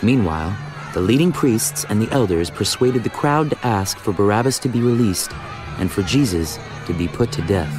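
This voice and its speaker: Low-voiced male scripture narrator. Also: lively intonation, a crowd in the background. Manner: measured, storytelling delivery. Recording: music behind the voice.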